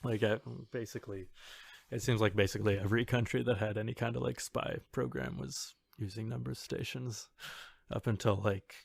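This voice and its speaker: A man co-hosting a podcast, explaining things in an informal, halting way. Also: on the low side, fairly even intonation, creaky, husky, no echo. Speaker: podcast co-host. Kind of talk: casual explanatory podcast chat.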